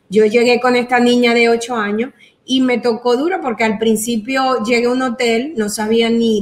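A middle-aged woman with a Venezuelan accent, speaking as a guest in an interview. Her voice sits a little high, and she talks in long, earnest runs, leaning on little fillers as she goes. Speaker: guest, entrepreneur. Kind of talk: interview conversation.

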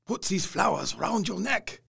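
An actor playing a grave, commanding older professor who leads vampire hunters in an audio drama, speaking solemnly in deliberate, formal phrases with a husky, gravelly voice. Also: male voice, high voice, expressive, bright, forceful tone, heavily acted. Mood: solemn, urgent.

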